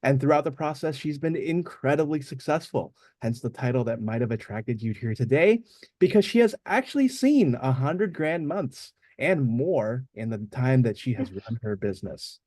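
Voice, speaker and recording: A man's voice: medium pitch, animated, moderate pace, darkish tone, no echo. A man hosting a webinar, giving a polished, upbeat scripted introduction of the guest. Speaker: webinar host.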